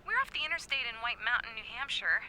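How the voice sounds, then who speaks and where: An actress playing a brisk, helpful cabin rental clerk in an audio drama, heard over the telephone. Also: female voice, on the high side, expressive, pushed and bright. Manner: brisk customer-service patter. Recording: noisy telephone line with clicks.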